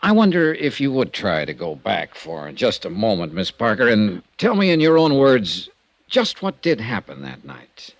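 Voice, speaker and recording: A man's voice: on the low side, wildly swooping pitch, moderate pace, no echo. An actor playing a cool, courteous, probing male investigator in a 1950s radio detective drama. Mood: measured, probing. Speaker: investigator and narrator.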